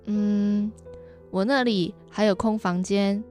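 A woman's voice: mid-range voice, steady tone, slow pace, darkish tone, soft background music, lightly acted. A woman acting a caring, dutiful aunt in a radio drama, earnest and persuading. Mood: concerned, persuading. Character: concerned, caring adult aunt, sighing but dutiful.

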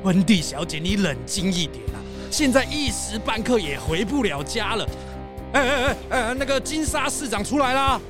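Woman acting an exasperated guest, animated delivery. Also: on the high side, normal speed, music in the background, close to an everyday voice.

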